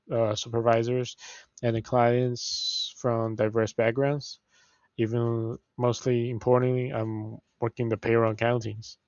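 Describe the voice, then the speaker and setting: A young man from China, a native Mandarin speaker with a Mandarin Chinese accent, presenting a class assignment as a student, halting, with frequent restarts and fillers. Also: mid-range voice, darkish tone, no room sound, dull audio.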